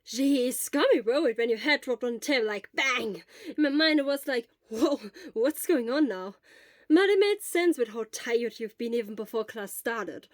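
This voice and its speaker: Casting: a woman playing a bright, caring teen boy, high and loud, talkative, in a storybook reading. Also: normal speed, no room sound, studio quality, natural voice. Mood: worried chatter. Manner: loud, lively and chatty. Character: cheerful, caring teenage schoolboy friend.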